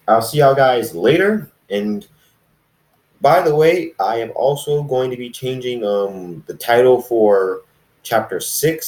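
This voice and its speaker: A man reading amateur fan fiction and chatting to viewers in a halting, self-deprecating, rambling way. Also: medium pitch. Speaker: fan fiction reader, video host. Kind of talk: amateur story reading and casual vlog chat. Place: dark room, in front of camera. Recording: roomy echo, distant mic.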